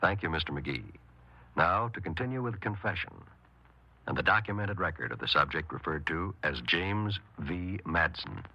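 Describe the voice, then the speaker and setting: A man announcing a 1950s radio program in a formal, measured manner. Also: deep voice, lively intonation, background noise. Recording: dull 1950s radio recording.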